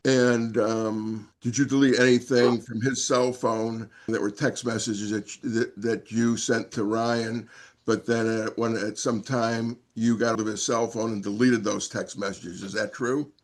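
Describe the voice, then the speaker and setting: A man working as a defense attorney, questioning and arguing in court with halting, repetitive, wordy phrasing. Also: on the low side, flat delivery. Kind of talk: courtroom cross-questioning and argument.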